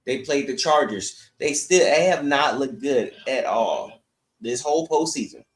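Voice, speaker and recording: A man's voice: medium pitch, animated, measured pace. A man's mid-range voice comes through with a noticeable room echo. He hosts a casual sports podcast and gives his opinions bluntly, in a conversational commentary style. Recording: echoey room sound.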